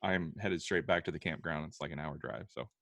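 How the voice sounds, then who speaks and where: A man's voice: extremely low pitch, fairly even intonation, brisk, a bit bright, no echo. A man co-hosting a casual podcast, rambling and chuckling between phrases, wired on coffee. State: hopped up on coffee. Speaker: podcast co-host. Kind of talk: casual chat.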